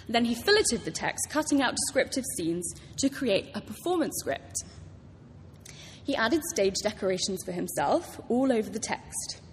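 A British woman author giving a prepared talk to a live audience, telling stories with enthusiasm. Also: on the high side. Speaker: author introducing a public reading. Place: large hall at a public library event. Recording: reverberant hall, clear background noise.

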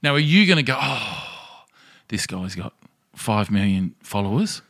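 A man co-hosting a casual podcast, joking and reading news items aloud haltingly. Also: lowish voice, big pitch swings, fairly bright tone. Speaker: podcast co-host.

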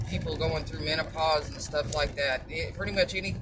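A woman with a loud, strong voice chatting in a blunt, outspoken way, halting often with fillers and long pauses as she promotes supplements. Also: low voice, background noise. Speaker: vlogger selling supplements. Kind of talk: casual chatty monologue.

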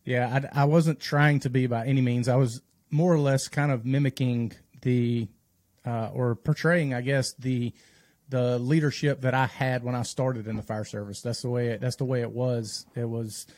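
A man's voice: mid-range voice, steady tone, moderate pace, darkish tone, dry recording, studio quality. A man talking as a firefighter and instructor in a podcast conversation, explaining earnestly at length and correcting himself as he goes. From North Carolina, United States.

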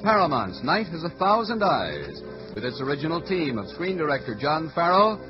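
Muffled vintage American radio announcer, very animated man. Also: a little high, over music. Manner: formal, dramatic, grandly measured.

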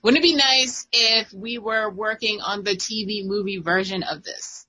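Female podcast co-host, bright and husky, playful and quick to laugh. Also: medium pitch, rough recording. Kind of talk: casual chat.

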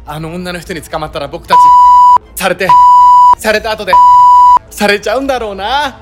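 A man's voice: high voice, lively intonation, normal speed, loud music behind the voice. A man playing an earnest, panicky young sightseer in a comedic radio drama, speaking in wordy, melodramatic lines. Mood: grave dread.

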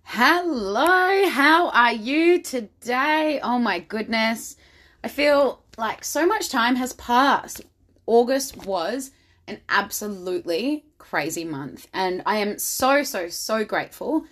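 Female podcast host, slightly raspy from overuse, enthusiastic and motivational, blunt. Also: fairly high pitch, animated, slow, a bit bright. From Australia. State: slightly raspy voice from much talking. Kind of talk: solo podcast monologue.

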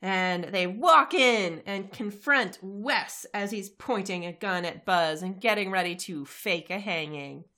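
A woman's voice: mid-range voice, measured pace, hardly any pauses, sharp tone. A woman recapping and discussing casually as a podcast co-host, conversational and often checking for agreement. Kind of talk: casual episode recap chat.

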